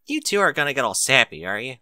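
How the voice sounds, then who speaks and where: A man's voice: mid-range voice, sing-song, quick pace, bright, forceful tone, no echo, clean studio sound, pitched above the performer's own voice. A man voicing a young pony filly, eager, stubborn and cheeky, with folksy informal talk, in a story reading.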